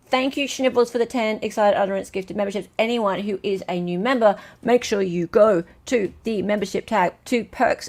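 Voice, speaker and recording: An Australian woman hosting a casual live-stream chat, excitable and talkative, reading out viewers' comments. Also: mid-range voice, creaky. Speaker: livestream host.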